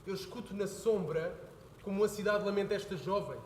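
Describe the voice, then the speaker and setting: A young man playing an earnest son who pleads with his father, building to heated shouting. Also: high voice, a little fast, slight background noise, close to an everyday voice. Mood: pleading. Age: young adult. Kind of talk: stage play performance. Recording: echoey hall with faint ambience.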